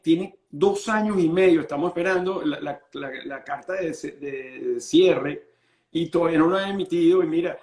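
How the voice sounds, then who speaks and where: A Venezuelan-born lawyer explaining estate planning in an interview, patient and full of restarts. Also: male voice, a little high, echoey room. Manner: explains patiently, many restarts and repeated words.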